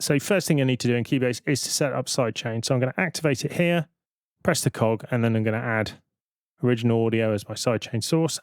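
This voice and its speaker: A man giving an informal, step-by-step software demonstration as a tutorial presenter. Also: mid-range voice, dry recording, studio quality.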